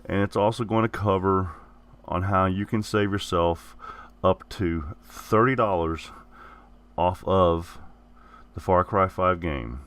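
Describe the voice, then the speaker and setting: Gaming host, low male voice, a bit rough from the flu, rambles and restates himself. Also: takes it slowly, a bit bright. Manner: rambling, repeats and corrects himself. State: hoarse, getting over the flu. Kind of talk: casual giveaway announcement.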